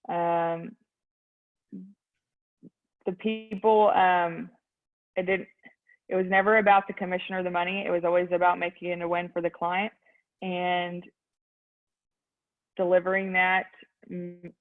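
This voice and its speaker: A woman's voice: lowish voice, level tone, measured pace, long pauses. A young adult woman with a Texan accent, a real estate agent answering interview questions in a youthful voice, earnest and full of ums. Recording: tinny phone-like line.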